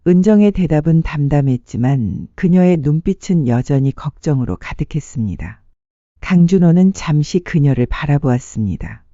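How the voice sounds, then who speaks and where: A narrator reading a dramatic story in a measured, sentimental storytelling manner. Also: medium pitch, expressive, mellow, no room sound, dull audio. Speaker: audiobook narrator.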